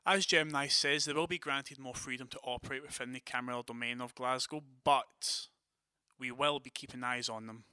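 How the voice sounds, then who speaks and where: A man's voice: mid-range voice, steady tone, a little fast, bright, forceful tone, clean tone, no room sound, clean studio sound. A man playing a commanding, decisive vampire prince in an audio drama, with a formal, authoritative manner. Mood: firm, assured.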